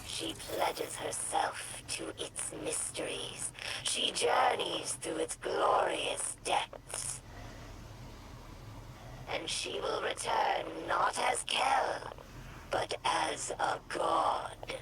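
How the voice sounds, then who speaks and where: An actress voicing a zealous, menacing alien priestess in a video game, hissing and raspy, in ominous incantations. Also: female voice, on the high side, big pitch swings, heavily acted, low-quality recording. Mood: fervent, ominous. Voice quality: breathy, raspy hissing whisper. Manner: slow, ominous, incantatory proclamations. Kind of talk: dramatic video game acting.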